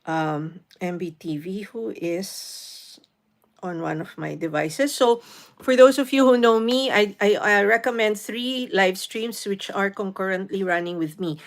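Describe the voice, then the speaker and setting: Chatty Filipina live-stream host. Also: female voice, mid-range voice, a little slow. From Philippines. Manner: chatty, greets viewers by name, gives tips. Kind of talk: casual live-stream chat mixing English and Tagalog.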